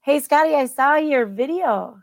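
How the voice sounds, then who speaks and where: Host, female, higher pitch, upbeat and encouraging, dry video-call sound. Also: animated, measured pace, dry recording. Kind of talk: hosting a live online show.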